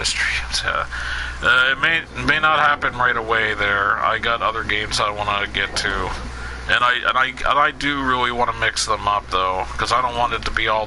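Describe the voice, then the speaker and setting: A man with a fairly low voice streams a video game and chats casually to his viewers. He rambles and loses his train of thought, with a steady hiss of noise under the audio. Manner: rambling, scatterbrained, lots of fillers. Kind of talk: casual let's play commentary.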